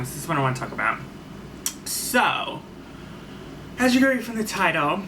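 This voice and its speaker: A man chats through a personal story on his vlog, at a middling pitch, rambling and restarting his sentences as he goes. He sits a bit back from the mic, so his voice comes across distant, and a steady noise runs under his talking. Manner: rambling, many fillers and restarts. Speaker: vlogger telling a story. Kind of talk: casual storytime monologue. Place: at home. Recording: faint far-off mic with noticeable background noise.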